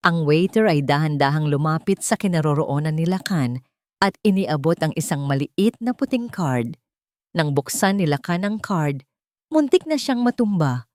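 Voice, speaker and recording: A woman's voice: deep voice, animated, normal speed, darkish tone, no room sound, studio quality. A woman reading a scripted story in a dramatic narrating style.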